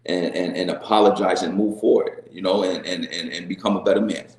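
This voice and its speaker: Middle-aged man, deep voice, sincere guest, halting delivery, echoey room. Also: steady tone. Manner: earnest, repeats words and restarts, says "right?" often. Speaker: reality show guest. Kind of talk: candid interview answers.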